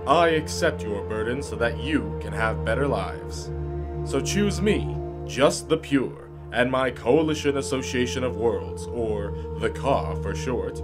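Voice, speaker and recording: A man playing a self-important billionaire saviour, declaiming a grandiose campaign pitch in a mock radio commercial. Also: expressive, a little slow, over music, natural voice.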